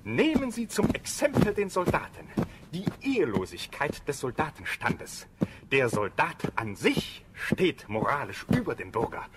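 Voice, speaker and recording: Slow, swooping pompous moralizer. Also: male voice, on the high side, sing-song. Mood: pompous, lecturing. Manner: pompous, lecturing, emphatic. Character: pompous moralizing philosopher among soldiers. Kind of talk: German radio drama acting. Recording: loud background noise, clicks.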